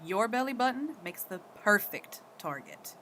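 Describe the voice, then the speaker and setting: A woman's voice: mid-range voice, lively intonation, a little slow, natural voice. A woman playing a young, cold-blooded backwoods daughter in an audio drama, blunt and matter-of-fact, with rustic speech. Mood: coldly menacing. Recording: faint background noise.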